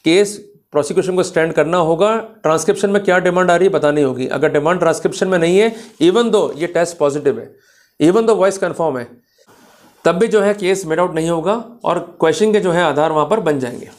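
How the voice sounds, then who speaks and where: A man's voice: on the high side, a little fast. A man giving an explanatory law lecture, addressing listeners directly in a didactic way and mixing in English legal terms. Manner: didactic, checks understanding with questions, mixes in English legal terms.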